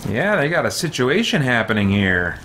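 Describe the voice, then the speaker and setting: A man's voice: medium pitch, big pitch swings, normal speed, bright, forceful tone. A man giving casual live commentary as he plays a game, muttering reactions and mild exclamations. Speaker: gaming streamer and host. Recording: game gunfire and noise behind voice. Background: video game ambience and gunfire.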